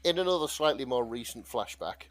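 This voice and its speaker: A British man talks as a podcast co-host in a casual, rambling manner. His voice sits at a middling pitch, hesitating as he retells things, captured dry and close. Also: lively intonation, a little fast, dry recording. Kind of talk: casual chat, retelling fanfiction.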